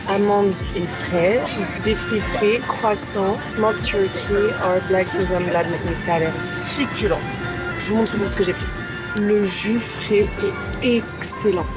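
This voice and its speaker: A woman vlogging in an airplane cabin on her phone, talking casually in an excitable, exclamatory, slangy way. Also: mid-range voice, slow, rough recording. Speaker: travel vlogger. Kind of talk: excited casual vlog narration. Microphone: phone camera. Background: loud music.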